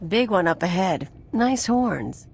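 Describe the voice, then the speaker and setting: A woman's voice: medium pitch, expressive, takes it slowly, creaky, slightly rough, heavily acted. An actress playing a blunt, irreverent female companion in a video game, speaking in a casual, wry way.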